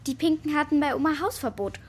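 A woman's voice: high voice, lively intonation, fast, a little mellow. A woman playing a distrustful, stubborn young girl in a children's radio play, speaking curtly. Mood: suspicious. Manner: curt, suspicious.

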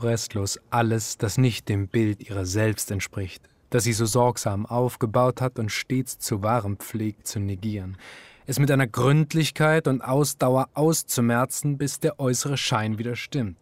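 Literary radio drama narrator, male actor: composed, serious, measured storytelling in a middle register. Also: medium pitch, dry recording, natural voice. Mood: analytical. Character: composed literary narrator observing a troubled wife.

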